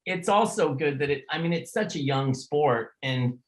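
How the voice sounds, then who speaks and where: A man's voice: on the high side, expressive, normal speed, a loud crowd. A middle-aged man hosting a casual conversational interview in an informal, chatty, plain-spoken way. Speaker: podcast host.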